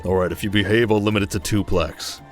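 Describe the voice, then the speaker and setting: A man's voice: on the low side, steady tone, quick pace, soft background music, close to an everyday voice. A man playing a wry, teasing young king in an audio drama, with a dry, stately manner. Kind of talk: audio drama acting, ASMR roleplay.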